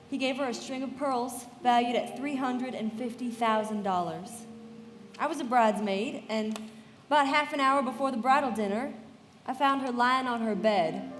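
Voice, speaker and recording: A woman playing a poised young society woman who tells a story in measured, confiding narration in a live radio play, in a reverberant hall with music behind. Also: high-pitched, natural voice.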